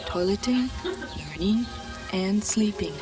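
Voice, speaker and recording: A woman narrating an educational video in a calm, explanatory way. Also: medium pitch, takes it slowly. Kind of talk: documentary narration. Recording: video clip played from a distance with music.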